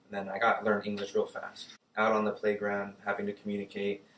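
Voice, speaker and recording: A young man from Germany, a native German speaker, casually telling his life story in an interview, relaxed and full of fillers like "like" and "uh". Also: lowish voice, a little fast, echoey room, distant mic, low-quality recording. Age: young adult.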